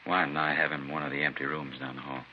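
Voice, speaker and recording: An actor playing a gruff, matter-of-fact senior police officer in a vintage radio crime drama. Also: male voice, very deep voice, level tone, fast. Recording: dull, very noisy old radio recording.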